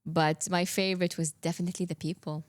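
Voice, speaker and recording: A woman from Saudi Arabia hosts a relaxed interview in a somewhat low voice. She is affirming and encouraging and often repeats her guest's words back. The sound is dry and close, with no room echo. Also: fast. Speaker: podcast host. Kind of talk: conversational interview.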